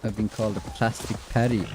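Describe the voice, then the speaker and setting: A man from Ireland with an Irish accent, streaming a game and chatting casually with viewers in a rambling way, full of fillers. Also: fairly low pitch. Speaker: gaming streamer. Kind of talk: casual gameplay chat with viewers. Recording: noisy gameplay audio with horse sounds.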